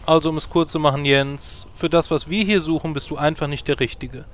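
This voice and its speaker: An actor playing a guild leader who dismissively turns down a recruit in a matter-of-fact tone. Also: male voice, on the high side, steady tone, fairly quick, fairly bright tone, lightly acted. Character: blunt male guild leader judging recruits.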